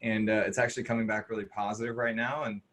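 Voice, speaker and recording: A man's voice: lowish voice, level tone, fast talker, a bit bright. A man in commercial real estate introducing himself on a video call webinar, talking earnestly and rambling with many restarts. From Austin, Texas.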